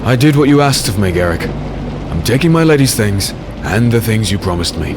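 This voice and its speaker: Man acting a low, brooding fantasy warrior. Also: lively intonation, a little fast, background noise, lightly acted. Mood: guarded, weary. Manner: brooding, terse, dramatic. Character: Tristian, grim haunted male warrior, secretive and urgent. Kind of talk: dark fantasy audio drama, first-person narration and dialogue.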